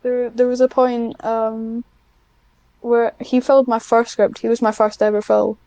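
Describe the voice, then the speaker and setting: A woman talks as a guest in a casual interview. Her voice sits a little high and has a mellow, relaxed tone. She speaks slowly and hesitantly, often putting herself down, in a dry, close recording. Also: clear, resonant tone, no echo. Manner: hesitant, self-deprecating. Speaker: guest, hobbyist audio script writer.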